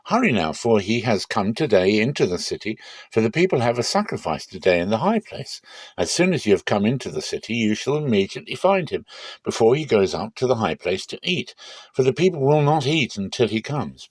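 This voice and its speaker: A man's voice: on the low side, sing-song, brisk, no room sound. A man voicing eager young maidens who give directions urgently in a dramatic scripture reading.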